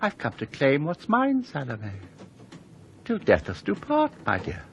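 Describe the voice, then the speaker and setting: A man's voice: fairly high pitch, wildly swooping pitch, measured pace, creaky, a bit bright, dull audio, a little hiss or hum, heavily acted. A man playing a possessive, sinister ghost in a radio drama, gloating and theatrical. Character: possessive, sinister male ghost claiming his bride.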